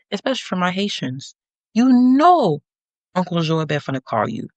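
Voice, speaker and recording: A young adult woman hosting a podcast, giving a sassy, animated monologue with teasing asides to her listeners. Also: fairly low pitch, no room sound. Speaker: podcast host.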